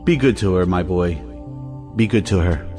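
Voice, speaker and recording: An actor playing a solemn, self-righteous head of a household in an audio play, speaking in a pompous, measured way. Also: male voice, sits low, warm tone, faint music behind, close to an everyday voice. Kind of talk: audio play acting of a 1910s stage comedy.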